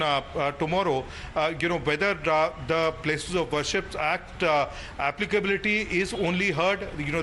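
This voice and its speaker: High, bright-voiced Indian male reporter. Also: slight background noise, poor audio. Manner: halting, repetitive, hesitant delivery. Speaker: news reporter covering a court case. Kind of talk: unscripted live news report.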